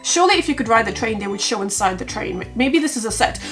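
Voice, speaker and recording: A woman with a stuffy, nasal voice from a cold, giving excitable, casual reaction commentary as a gaming vlogger. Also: fairly high pitch, quick pace, faint music behind. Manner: excitable, exclaiming. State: has a bad cold.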